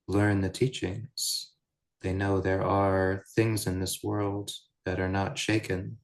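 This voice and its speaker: A man guiding a meditation as a teacher, speaking in measured, deliberate short phrases with pauses between them. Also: low voice, vocal fry. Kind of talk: guided meditation, unscripted Buddhist teaching.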